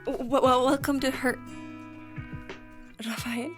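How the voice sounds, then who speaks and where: An actress playing a sad, perceptive mystic shopkeeper in an audio drama. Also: female voice, high-pitched, steady tone, takes it slowly, music in the background. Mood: fragile, wistful. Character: sad, perceptive woman keeping a mystic bookshop.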